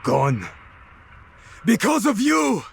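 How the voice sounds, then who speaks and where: An actor playing a bitter, accusing assassin in a video game, with a husky voice and intense delivery. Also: male voice, high-pitched, huge pitch swings, extremely slow, slight background noise, full character voice. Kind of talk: dramatic video game acting.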